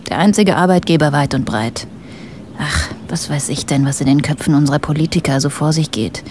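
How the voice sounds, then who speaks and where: An actress playing a guarded village shopkeeper in a German radio play, dry, curt and matter-of-fact. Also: female voice, sits low, a little fast, darkish tone, slight background noise, natural voice. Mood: resigned, guarded.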